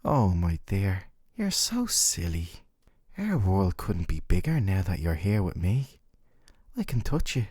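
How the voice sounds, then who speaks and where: A man playing a gentle but obsessive, possessive dating-sim love interest in an intimate, tender, coaxing ASMR roleplay. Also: low voice, very animated, unhurried, breathy edge, no room sound, clean studio sound, heavily acted. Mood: fond, delighted.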